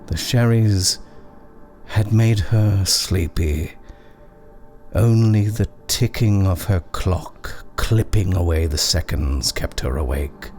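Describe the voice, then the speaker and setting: A man reading a creepy short story as a measured, deliberate narrator with dramatic pauses. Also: deep voice, a little mellow, faint music behind.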